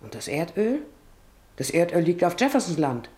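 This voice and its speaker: A woman's voice: on the low side, very animated, moderate pace, darkish tone. A woman acting in a German radio drama as a practical, long-suffering middle-aged wife, pleading and reproaching insistently. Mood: bitter, defiant.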